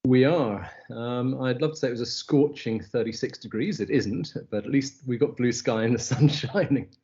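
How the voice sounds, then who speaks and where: A middle-aged Scottish man from the Highlands, a company head telling stories in a casual interview, wry, with many ums and restarts. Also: normal speed, mellow, highs cut off. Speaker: agency CEO, guest. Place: South Africa, remote call.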